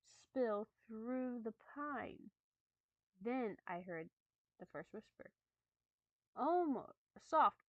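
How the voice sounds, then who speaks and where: A woman's voice: fairly high pitch, no room sound, dull audio. A woman streamer who chats casually and reads horror stories aloud, stumbling over words, swearing at her slips and laughing. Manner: halting reading with stumbles and swearing; laughs and chats with viewers between readings. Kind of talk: casual chat and dramatic horror reading.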